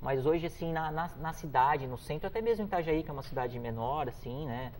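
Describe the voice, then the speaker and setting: A man's voice: on the high side, a little fast, darkish tone, slight background noise. Easygoing man in his forties. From São Paulo, Brazil. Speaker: guest musician. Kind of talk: casual interview chat.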